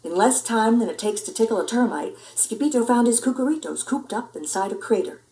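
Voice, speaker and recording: A woman reading a children's story aloud in an expressive, theatrical narrating style. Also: fairly high pitch, a little fast, distant mic. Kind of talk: animated read-aloud narration. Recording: roomy echo.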